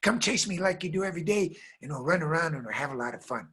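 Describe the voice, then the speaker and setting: A man's voice: fairly high pitch, expressive, fast, sharp tone, slightly rough, voice pushed up, exaggerated voice. A man voicing a friendly farm cat, playful and concerned, in a folk tale.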